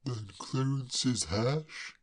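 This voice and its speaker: A reader voicing a genial, bumbling young English gentleman who speaks slowly and in a puzzled way. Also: rumbling low, exaggerated voice. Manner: fussy, polite, slow and puzzled.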